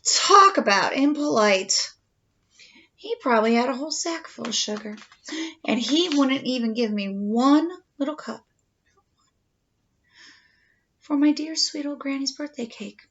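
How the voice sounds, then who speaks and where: Storybook wolf played by a woman: wronged, mock-innocent, high and swooping, slightly muffled recording. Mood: indignant. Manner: expressive, mock-innocent storytelling with drawn-out dramatic words. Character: aggrieved male wolf telling his own side, slyly self-pitying.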